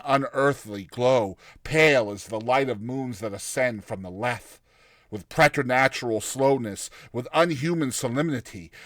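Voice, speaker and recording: A male narrator reads a story with theatrical solemnity. His voice sits at a middle pitch and his phrasing is deliberate. The recording is dry, with very little room sound. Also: dry recording. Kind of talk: dramatic scripted story narration.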